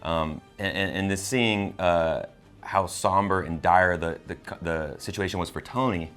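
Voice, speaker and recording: A man with a low-pitched voice talks through a film review as co-host. His delivery is casual and conversational, often doubling back on words and phrases while he works out the thought. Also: steady tone, measured pace, a bit bright, faint music behind. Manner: hesitant, repeats words and phrases as he goes. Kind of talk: casual spoiler review chat.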